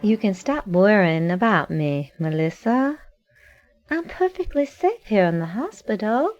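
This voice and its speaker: A woman acting in an audio drama as a hospital patient who brushes off concern in an unhurried manner. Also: medium pitch, very animated, darkish tone, highs cut off. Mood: reassuring, dismissive. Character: reassuring, dismissive woman in a hospital bed.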